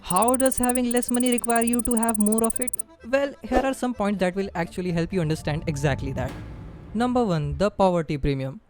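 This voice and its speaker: Indian guy, high voice, earnest explainer voiceover, faint music under it. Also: brisk. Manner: earnest, addresses viewer directly. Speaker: explainer video narrator. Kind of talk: scripted voice-over explainer.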